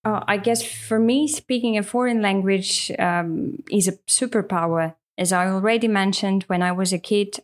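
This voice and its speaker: A woman, co-hosting a casual podcast as an English teacher, talking in a friendly, earnest way with frequent fillers. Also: medium pitch. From Russia. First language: Russian.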